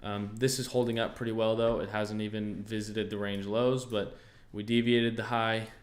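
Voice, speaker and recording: A young man with an American accent and a lowish voice hosts a relaxed livestream. He chats with viewers in an easygoing way, thinks out loud, and leans on fillers and restarts as he goes. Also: normal speed. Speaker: trading livestream host. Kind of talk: casual livestream chat answering viewers.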